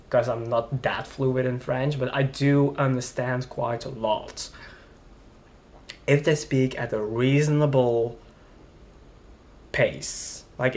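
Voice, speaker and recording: A man's voice: mid-range voice, darkish tone. A young man from Flanders, rambling and hesitant as he complains in a casual vlog. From Belgium, Flanders. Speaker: vlogger.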